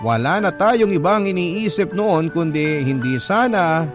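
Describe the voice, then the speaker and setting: A man's voice: sits high, animated, a little slow. A man playing a heartbroken, devoted boyfriend who tells his story in a rueful, confiding radio narration. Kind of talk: dramatic first-person radio narration. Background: music.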